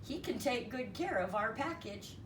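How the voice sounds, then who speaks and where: A woman's voice: fairly high pitch, expressive, moderate pace, pitched above the performer's own voice, lightly acted. A woman voicing a plain-spoken, thrifty rural father in a storybook, folksy and matter-of-fact. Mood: folksy, persuasive. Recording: roomy, distant mic, noisy.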